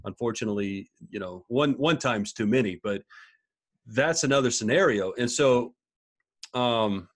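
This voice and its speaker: A man's voice: mid-range voice, measured pace. A man in his thirties talking from a home study over a video call, thinking aloud and often repeating words as he reasons. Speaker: podcast co-host. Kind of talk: casual discussion on a video call. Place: small home study with bookshelves.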